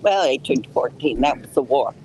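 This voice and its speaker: An older woman from Colorado, loud and plain-spoken, making a statement outdoors as a case's lead petitioner. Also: extremely low pitch, expressive, normal speed, a little hiss or hum, poor audio. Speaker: lead petitioner speaking at a press conference. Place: outdoors on a city street.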